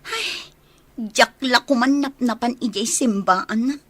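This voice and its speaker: Woman acting high-voiced spiteful young schemer. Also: slow, fairly bright tone, breathy edge, dry recording, full character voice. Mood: sulky, scheming. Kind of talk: Filipino radio-style audio drama acting.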